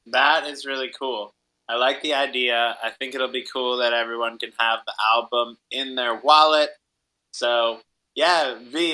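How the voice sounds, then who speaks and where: A male podcast host with a very bright, forward voice. He chats enthusiastically and encouragingly, cheering on guest and audience like a hype man. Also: medium pitch, low-quality recording. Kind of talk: enthusiastic podcast interview chat.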